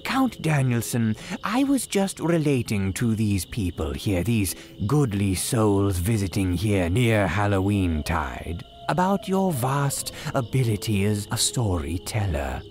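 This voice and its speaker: A man playing a courteous, gleefully sinister cemetery keeper, telling horror tales in grand, archaic, drawn-out phrases like old-time radio drama. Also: lowish voice, very animated, a little mellow, faint music behind. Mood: courteous, macabre. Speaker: horror storyteller host.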